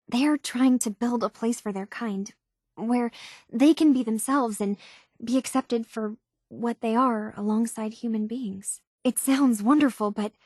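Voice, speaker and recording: A sincere, unsure young woman from a video game, slightly high voice, really dry sound. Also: darkish tone, dry recording. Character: earnest, troubled young woman unsure of her identity. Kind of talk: game dialogue voice acting.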